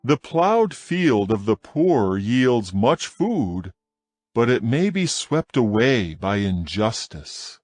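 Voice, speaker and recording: Guy reading scripture real slow, lots of rise and fall, dry close sound, soft music under it. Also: mid-range voice, dry recording. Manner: slow, measured, expressive reading. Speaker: scripture reader.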